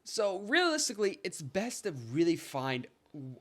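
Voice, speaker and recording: Animated young man telling stories. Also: moderate pace. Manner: animated, rising to high-pitched excitement in stories. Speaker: podcast co-host. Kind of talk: casual storytelling chat.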